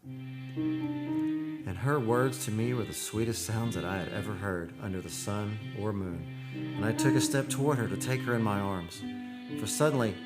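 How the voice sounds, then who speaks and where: A man's voice: fairly low pitch, moderate pace, natural voice. An actor playing an earnest, lovestruck man who narrates a haunted romance in poetic radio-play style. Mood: wistful, rapt. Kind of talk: radio play acting and dramatic first-person narration. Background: music.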